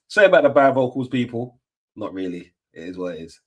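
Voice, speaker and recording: A man's voice: medium pitch, very animated, a little fast, lo-fi sound. A middle-aged man, chatting casually on a football watch-along stream, rambling with lots of fillers and restarts. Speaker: stream host.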